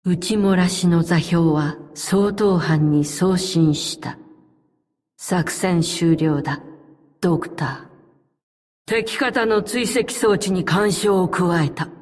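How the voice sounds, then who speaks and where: Game role: calm, loyal female elite operative. Lowish voice, very unhurried, restrained and terse delivery. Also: big-room sound. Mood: commanding. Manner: formal, measured, restrained, terse. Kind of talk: scripted game character voice lines.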